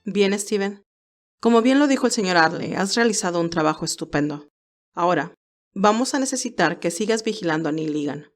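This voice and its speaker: A woman's voice: lowish voice, animated, brisk, faint music behind, natural voice. A woman voicing a composed male senior aide who gives orders formally and courteously in an audio story. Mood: businesslike.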